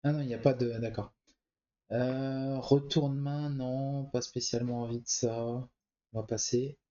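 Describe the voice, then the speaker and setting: A man's voice: medium pitch, steady tone, unhurried, mellow, lo-fi sound. A man with a cold, thinking aloud as he comments on a card game he plays. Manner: thinks aloud, muttering choices. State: has a cold. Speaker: gameplay commentator. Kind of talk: relaxed let's-play commentary.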